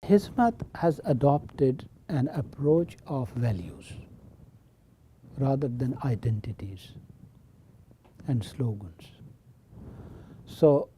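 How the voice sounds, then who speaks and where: An older man with a Pakistani accent, a professor explaining his views in an interview in an emphatic, deliberate manner. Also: medium pitch, expressive, mellow, a little hiss or hum. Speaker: professor of Islamic studies.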